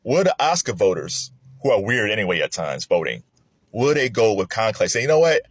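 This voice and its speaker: A man casually sharing his film awards predictions, with an animated manner, laughing often and swinging from excited highs to low mutters. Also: brisk, breathy edge, no room sound. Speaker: film commentator. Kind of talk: casual spoken commentary.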